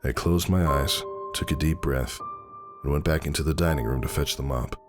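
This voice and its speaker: A man's voice: a bass voice, normal speed, over music. A man playing a brooding, anxious stallion husband, telling his tale in a somber, dramatic audiobook style. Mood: troubled, brooding. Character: brooding male pony husband, anxious and suspicious.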